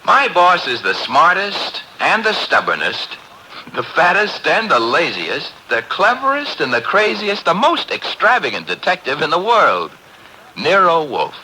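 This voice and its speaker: An actor playing a wry detective's assistant, in brisk 1950s radio drama style. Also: male voice, on the high side, wildly swooping pitch. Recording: noisy old radio transcription.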